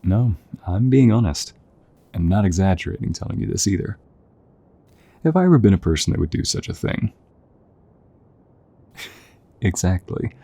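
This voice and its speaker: Man acting a deep, husky young soldier, affectionate. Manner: gentle, affectionate, hesitant, chuckling conversational delivery. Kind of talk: intimate audio roleplay acting.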